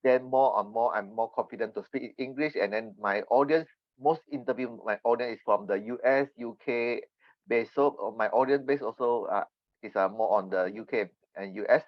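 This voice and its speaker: A Malaysian man, a native Mandarin speaker, answering podcast interview questions earnestly and haltingly as he searches for words. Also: medium pitch, no echo. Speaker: podcast guest. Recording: dull, slightly lo-fi remote connection.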